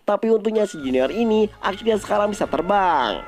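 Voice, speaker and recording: A man's voice: sits high, expressive, fairly quick. A man with an Indonesian accent narrates a film recap in a casual style.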